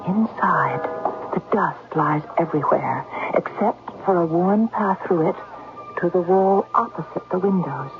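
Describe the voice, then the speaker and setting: An actress playing a frightened, inquisitive young companion in a gothic manor, breathless and intense in a 1970s radio drama style. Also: female voice, fairly low pitch, measured pace, over music. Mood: hushed suspense. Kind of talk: 1970s radio drama acting with first-person narration. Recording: tinny old radio broadcast recording.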